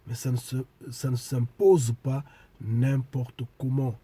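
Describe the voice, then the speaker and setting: A man preaching a sermon to his followers while congested with a cold, speaking deliberately and emphatically and repeating key phrases. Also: mid-range voice, level tone, warm tone, husky, no echo. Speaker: spiritual preacher.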